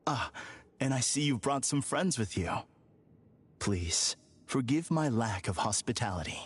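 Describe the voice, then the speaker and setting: An actor playing an unsettlingly calm, formal villain in a game cutscene, speaking in a measured, courteous way. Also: male voice, mid-range voice, husky. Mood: serenely polite. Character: eerily serene polite man, unsettling villain. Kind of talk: video game cutscene dub.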